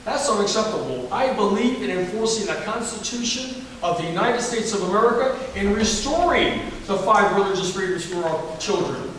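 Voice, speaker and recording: A Southern-accented man with a high voice speaks emphatically and repeats himself. He is recorded from far off in a large hall with heavy echo and long reverb. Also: a bit bright, slight background noise. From Alabama. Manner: emphatic, folksy, repetitive. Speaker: candidate for governor. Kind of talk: campaign forum answer.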